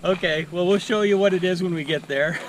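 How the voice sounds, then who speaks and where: A man's voice: sits high, brisk, poor audio. A man in his forties, casually narrating a family hike while walking, filmed on a handheld action camera outdoors. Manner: easygoing, chatty, walking while talking. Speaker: family travel vlogger. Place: outdoors on a forest trail. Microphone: action camera held at arm's length. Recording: faint outdoor noise.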